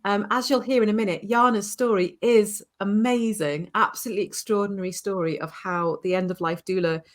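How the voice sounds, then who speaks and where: A woman hosts a relaxed conversation with a mid-range voice. She sounds eager and playful as she introduces her guest. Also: medium pitch, a little fast. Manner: enthusiastic, playful. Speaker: host, course founder. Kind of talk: casual conversation.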